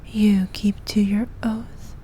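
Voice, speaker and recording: A woman playing a regal, possessive evil queen who dotes on her knight in an intimate ASMR roleplay, speaking formally and softly, at times in breathy whispers. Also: medium pitch, flat delivery, slight background noise, close to an everyday voice, low-quality recording. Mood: seductive, pleased. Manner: regal, slow, archaic formal speech.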